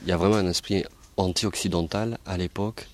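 A man narrating a documentary in a measured, informative way and asking interview questions. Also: deep voice, steady tone.

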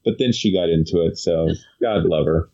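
A man's voice: low-pitched, normal speed, mellow, lo-fi sound. A man hosting a podcast, telling stories in a chatty, rambling way full of fillers. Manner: chatty storytelling, many fillers. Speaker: podcast host. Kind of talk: casual chat.

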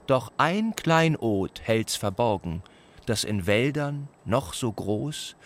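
A man declaiming old ballad verse in a radio play, stately and deliberate. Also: lowish voice, fairly bright tone, heavily acted.

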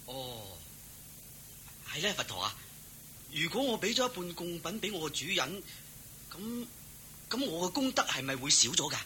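An actor playing a simple, humble young servant in a Cantonese radio drama, hesitant and naively earnest. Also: male voice, fairly high pitch, big pitch swings, normal speed, pauses often, pushed and bright, a little hiss or hum, full character voice.

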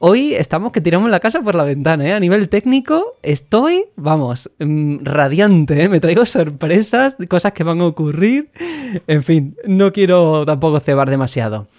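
A man's voice: on the high side, lively intonation, moderate pace, darkish tone. Friendly man hosting a podcast. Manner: friendly, with short backchannel replies. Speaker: podcast host. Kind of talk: casual chat. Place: home studio beside his co-host.

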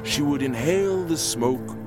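A man narrating a story about a woman in a slow, deliberate, dramatic manner. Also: a little high, expressive. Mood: brooding. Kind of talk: dramatic scripted storytelling in English over music. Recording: distant playback with loud music.

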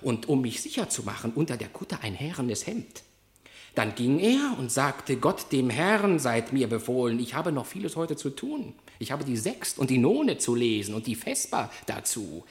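A man plays a dignified rooster father in mourning in a classic radio play. He declaims his complaint in a mid-pitched voice with big melodic swings, lamenting and solemn. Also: very animated, moderate pace, lightly acted. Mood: bitter accusation. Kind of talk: classic radio drama verse acting.

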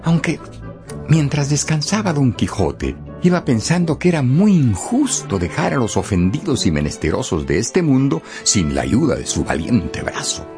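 A man's voice: medium pitch, sing-song, moderate pace. A man narrating a scripted radio drama in a theatrical, emphatic storytelling style. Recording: loud music bed under narration.

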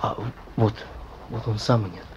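An actor playing a plain-spoken, grim policeman with a husky voice, reporting colloquially in a Russian radio play. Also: lowish voice, unhurried, a little mellow, dull audio, a little hiss or hum, natural voice. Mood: grim, bitter. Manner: colloquial, matter-of-fact, grim.

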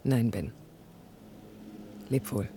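An actress playing a proud, vulnerable yet resolute woman architect in a radio play, speaking in a reflective, deliberate, emphatic way with a breathy voice. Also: extremely low pitch, dark tone, a little hiss or hum, heavily acted. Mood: wounded, firm. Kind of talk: radio play acting, introspective first-person monologue.

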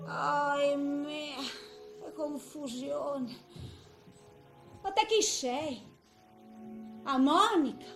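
A woman's voice: high voice, a bit bright, echoey room, exaggerated voice. An actress playing a frail, bewildered eighty-year-old grandmother who rambles to herself in a theatrical monologue. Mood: tender, bewildered.

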